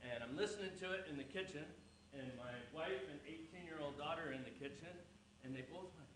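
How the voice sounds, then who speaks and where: A man's voice: fairly high pitch, a little fast. A middle-aged man giving an informal, anecdotal introduction to the speaker at a church service, speaking without a microphone. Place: church sanctuary. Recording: distant, echoey, noisy church room.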